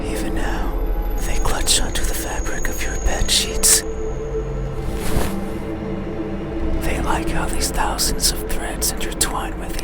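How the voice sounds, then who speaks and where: Slow whispering man as a lonely night creature. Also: high voice. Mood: weary, obsessive. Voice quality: breathy, unvoiced whisper. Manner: slow, hushed, halting, brooding phrasing. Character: lonely, yearning nocturnal creature, melancholy and menacing. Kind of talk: whispered ASMR horror monologue, visual novel. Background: loud eerie music.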